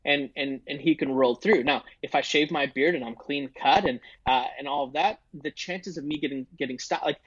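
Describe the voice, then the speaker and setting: A man's voice: a little high, normal speed, few pauses, fairly bright tone, breathy edge, no echo, highs cut off. A man talking earnestly in a casual faith discussion, with many restarts. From Indian heritage, not thick accent. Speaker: podcast co-host, ministry team member.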